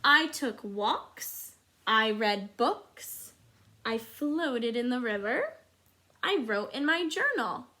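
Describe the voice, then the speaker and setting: A woman voicing a dutiful, overburdened good egg in a children's storybook, with earnest, dramatic, drawn-out emphasis. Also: on the high side, big pitch swings, pushed and bright, full character voice. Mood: calm.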